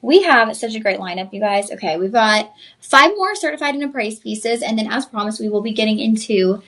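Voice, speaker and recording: A woman's voice: on the high side, animated, fairly quick, a bit bright. A young woman, hosting a live shopping stream, effusive and chatty, calling viewers y'all. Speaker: shopping channel host. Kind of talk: live-stream sales pitch.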